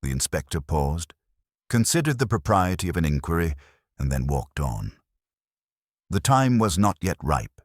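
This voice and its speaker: A man reading a mystery story as a scripted narrator, in a measured, formal way. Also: sits low, very animated, no room sound, clean studio sound.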